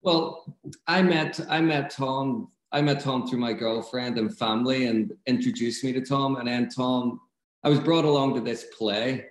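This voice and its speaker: A man's voice: medium pitch, fairly even intonation, unhurried. A man in his thirties with a Belfast accent, a film director speaking thoughtfully and earnestly over a video call. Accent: Northern Irish, Belfast. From Northern Ireland. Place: room at home. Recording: echoey room.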